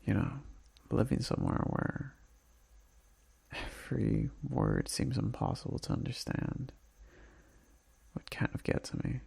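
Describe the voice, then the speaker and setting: A man playing a homesick, tender boyfriend in an intimate ASMR roleplay voicemail, speaking softly and breathily with halting pauses and sighs. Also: mid-range voice, big pitch swings, gravelly, slightly rough, dry recording, exaggerated voice. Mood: weary, wry.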